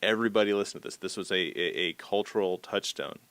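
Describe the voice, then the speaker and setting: A man interviewing in a conversational way, working haltingly and discursively toward his questions. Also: on the low side, a bit bright, no echo.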